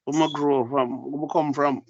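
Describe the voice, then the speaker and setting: A man's voice: mid-range voice, fairly even intonation, moderate pace, no echo, dull audio. Jamaican man telling a street story. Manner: storytelling, heavy patois, repeats phrases. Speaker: podcast co-host. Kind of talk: casual chat.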